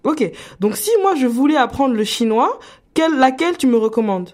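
A woman hosting a radio segment, asking guiding questions in a lively, friendly way. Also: sits high, moderate pace. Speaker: radio host.

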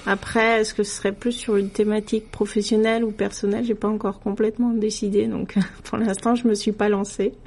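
A middle-aged woman from France, a radio guest talking in a relaxed, thoughtful way with many hesitations and restarts. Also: mid-range voice, brisk, hardly any pauses, husky. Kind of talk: casual conversational interview.

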